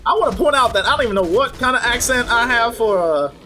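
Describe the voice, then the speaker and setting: A man doing a goofy three-thousand-year-old Egyptian dude who teases and jokes in casual slang. His voice sits high and swings lively up and down, with faint noise behind it. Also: quick pace, full character voice. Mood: joking. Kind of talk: co-op game commentary.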